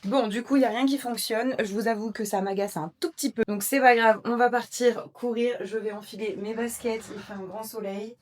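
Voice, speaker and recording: Chatty woman vlogger, rambling casually. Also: medium pitch. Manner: chatty, rambling with many fillers. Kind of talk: casual vlog chat to camera.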